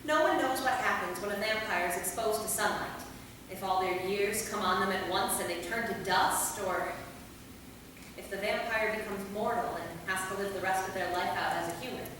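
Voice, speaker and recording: In a roomy, echoing hall, a woman plays an age-old vampire in a stage comedy. Her mid-pitched voice is measured and explanatory, picked up from a distance. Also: a bit bright, lightly acted. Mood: matter-of-fact. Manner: measured, explanatory, matter-of-fact. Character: matter-of-fact centuries-old female vampire explaining the lore. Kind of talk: staged reading of a comic play. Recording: echoey hall from far off, noisy.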